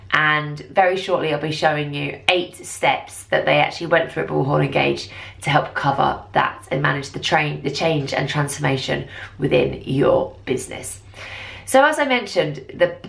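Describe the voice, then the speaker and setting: Woman, low pitch, very creaky voice, enthusiastic, explanatory, informal business presentation. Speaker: recruitment software consultant presenting.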